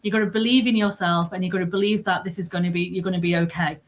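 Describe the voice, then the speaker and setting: A woman's voice: on the low side, fairly even intonation, fast talker. A woman moderating a panel discussion, earnest and encouraging as she speaks to the founders.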